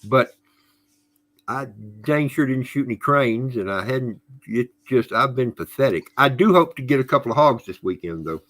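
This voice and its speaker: A man's voice: medium pitch, expressive, normal speed, a bit bright. A middle-aged man co-hosting a casual podcast livestream, friendly and folksy, greeting viewers by name. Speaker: podcast co-host.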